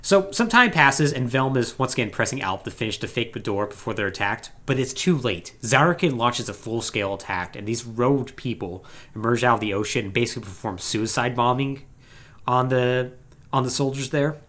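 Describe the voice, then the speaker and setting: A man's voice: mid-range voice, animated, normal speed, fairly bright tone. A man hosting a podcast, recapping a video game storyline in a casual, conversational way with wry asides. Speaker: podcast host.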